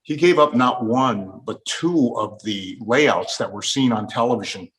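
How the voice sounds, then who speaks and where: A man's voice: medium pitch, a little slow, fairly bright tone, low-quality recording. A man hosting a casual conversational interview, chatting with his guests and putting questions to them. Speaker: host.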